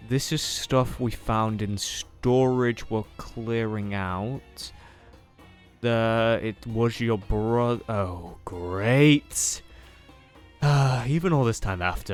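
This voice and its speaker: Male player as jaded final-year duel student. Lowish pitch, muttered asides, sardonic, loose improvised game roleplay over faint music. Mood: wry, muttering, then exasperated. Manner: hesitant, rambling, muttering asides. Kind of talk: casual improvised Minecraft anime roleplay.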